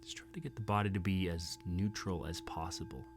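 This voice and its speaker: A yoga instructor guiding a sleep meditation in a soothing, unhurried way with long pauses. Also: sits low, background noise. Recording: singing bowl tones over the voice. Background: singing bowls and sound bath tones.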